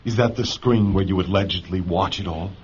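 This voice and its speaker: Man acting deep-voiced, distrustful male patient. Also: a little fast, a little mellow, lightly acted. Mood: wary, fearful. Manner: guarded, suspicious, curt. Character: distrustful, fearful, prickly male psychiatric patient, an engineer. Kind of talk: radio drama acting. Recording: muffled lo-fi audio with clear noise.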